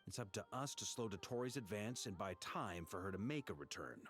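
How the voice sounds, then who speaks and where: An actor voicing a seasoned, pragmatic knight commander in a video game, talking in a measured, informal way. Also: male voice, fairly low pitch, lively intonation, a bit bright. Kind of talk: scripted video game dialogue acting.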